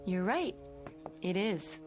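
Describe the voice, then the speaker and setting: An actress playing a kind, slightly uneasy schoolteacher in her twenties in a radio drama, talking in a gentle, coaxing way. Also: lowish voice, very animated, slow pace. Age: young adult. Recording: radio drama with prominent music underneath.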